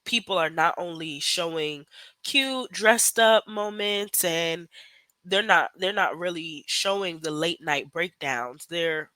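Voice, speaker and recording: A young woman in her twenties talks through her thoughts as a podcast guest. She is reflective and hedges often. Her voice is bright and a bit tense, recorded dry and close. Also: on the low side, takes it slowly, dry recording. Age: 20s. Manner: reflective, thoughtful, with frequent hedging fillers. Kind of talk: casual conversational interview.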